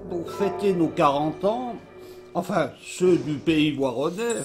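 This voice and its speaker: A man's voice: on the high side, lively intonation, slow pace, over music. A man playing an upbeat local organiser in a scripted promotional dialogue.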